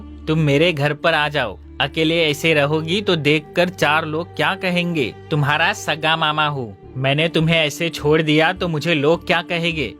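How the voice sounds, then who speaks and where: A man's voice: a little high, sharp tone. A man voicing Giriraj, a coaxing middle-aged uncle. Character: caring middle-aged male uncle, persuasive. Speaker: voice actor. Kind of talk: cartoon story dubbing.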